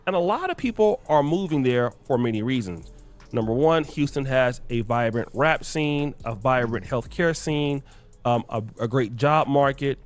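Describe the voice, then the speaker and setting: A man narrating a scripted commentary video in a lively, emphatic explaining manner. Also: mid-range voice, normal speed.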